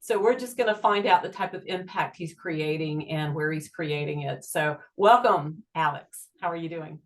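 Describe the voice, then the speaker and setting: A woman hosts an interview, introducing and prompting her guest. Her voice sits a little low, and her talk is chatty and loose, with small fillers. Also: moderate pace. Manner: chatty, with fillers and backchannel murmurs. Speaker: interview host. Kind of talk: friendly interview hosting.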